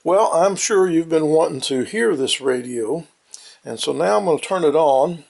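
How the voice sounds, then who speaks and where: A man giving an informal, halting, plain-spoken and folksy technical explanation as he demonstrates an antique radio. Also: fairly high pitch, lively intonation, gravelly, darkish tone.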